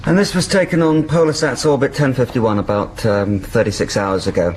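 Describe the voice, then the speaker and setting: A man's voice: mid-range voice, fairly even intonation, brisk, background noise, lightly acted. A man acting an easygoing, helpful base technician in an audio drama, casual and slightly hesitant.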